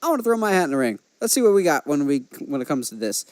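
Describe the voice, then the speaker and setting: A man with a slightly high voice chats as a podcast host. He sounds enthusiastic and conversational, and the recording is dry and close, with little room sound. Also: very animated, rapid-fire, darkish tone, no room sound. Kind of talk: casual podcast chat.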